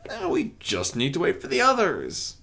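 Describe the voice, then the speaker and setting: Chaos spirit, a man acting: male trickster, dry menace, pitch swinging wildly, storybook gamemaster. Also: huge pitch swings, moderate pace, creaky, pushed and bright, breathy edge, exaggerated voice.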